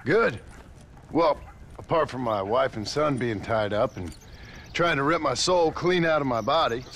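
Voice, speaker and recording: An actor playing a rugged, stoic frontier outlaw with an American accent, dry and terse, in a video game cutscene. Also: male voice, medium pitch, expressive, unhurried, fairly bright tone, slight background noise. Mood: dryly bitter.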